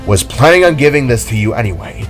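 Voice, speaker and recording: A man voicing a composed older brother figure who gives orders quickly. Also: medium pitch, expressive, faint music behind, close to an everyday voice. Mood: commanding. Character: older brother, calm commanding cyborg man.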